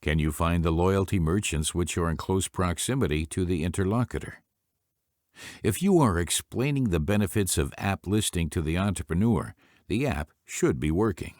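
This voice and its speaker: Low-pitched man narrating business training. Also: animated, a little mellow, no room sound, studio quality. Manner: formal, deliberate, instructional. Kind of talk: scripted instructional narration.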